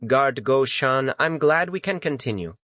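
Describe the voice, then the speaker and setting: A man voicing a calm young male master in a dramatized audiobook, casual and mockingly polite as he taunts. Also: medium pitch, a little slow, no echo. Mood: mock cheerful.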